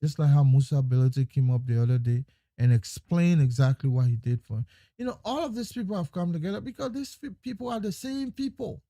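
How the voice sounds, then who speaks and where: A man giving an emphatic political monologue, repeating phrases and swinging between loud and hushed lines. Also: on the high side, lively intonation, brisk, no room sound. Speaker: political commentator.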